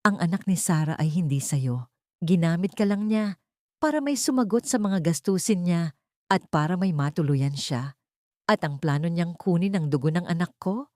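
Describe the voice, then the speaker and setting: A woman's voice: fairly low pitch, lively intonation, normal speed, darkish tone, no room sound, studio quality, close to an everyday voice. A woman voicing a young heiress who speaks firmly and with scorn, in a storybook reading. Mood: cold, scornful. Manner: firm, scornful. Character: young wealthy heiress, cold and cutting.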